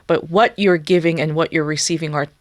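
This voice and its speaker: A young woman whose first language is Korean, speaking thoughtfully in a studio interview and often pausing to search for words. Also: sits low, fairly even intonation, dry recording. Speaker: guest musician. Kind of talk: reflective interview talk.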